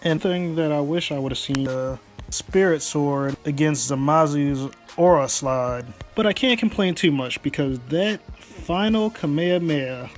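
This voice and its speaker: A man giving an excited, casual review as a video commentator. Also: fairly high pitch, measured pace. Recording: music behind the voice. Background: background music.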